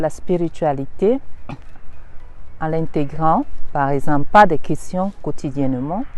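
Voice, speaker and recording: A woman's voice: low-pitched, darkish tone. A woman giving a reflective spiritual talk as a teacher, musing aloud and returning again and again to the same rhetorical questions.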